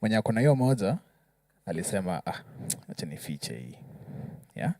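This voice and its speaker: A man's voice: on the low side, sing-song, fairly quick, mellow, no echo, clean studio sound. A Kenyan man giving explanatory advice in a panel discussion, working through examples and often checking that listeners follow. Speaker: musician panelist.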